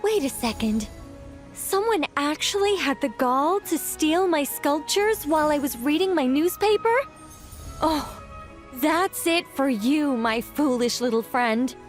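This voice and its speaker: A gentle former-angel witch for a video game. Her voice is high, and she speaks like a proud, theatrical storyteller, lyrical and polite, over soft background music. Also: lively intonation, unhurried. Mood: indignant.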